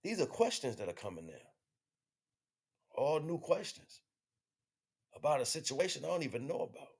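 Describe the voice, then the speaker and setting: A man's voice: fairly high pitch, very animated, normal speed, slightly rough. An American man giving a casual spiritual monologue as a livestream host, in slangy, profane, conversational speech.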